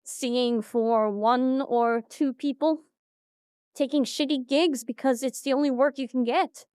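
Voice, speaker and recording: A woman voices a sardonic young woman idol in an animated audio drama. She is high-pitched, blunt and resentful, bossy with friends, with music running under her words. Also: measured pace, clear, resonant tone, no echo, studio quality. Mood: bitter, guarded. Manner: blunt, sarcastic, swearing.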